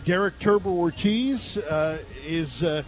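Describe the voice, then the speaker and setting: High-pitched male football play-by-play announcer. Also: slow, rough recording. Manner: emphatic, reels off statistics. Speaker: play-by-play radio sportscaster. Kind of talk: pregame football commentary, conversational.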